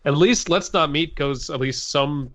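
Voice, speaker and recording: A man's voice: fairly high pitch, measured pace, a bit bright. A man co-hosting a podcast, giving blunt, sweary opinions in casual discussion. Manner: blunt, profane. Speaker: podcast co-host. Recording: slightly dull, lo-fi microphone sound.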